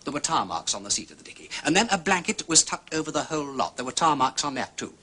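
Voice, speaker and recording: Casting: urbane aristocratic English detective, highish bright voice, very expressive, articulate and assured. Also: male voice, fast, heavily acted. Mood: confident explaining. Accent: upper-class English. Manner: urbane, articulate, emphatic explaining. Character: eloquent aristocratic gentleman sleuth, confident and precise. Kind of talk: British detective drama acting.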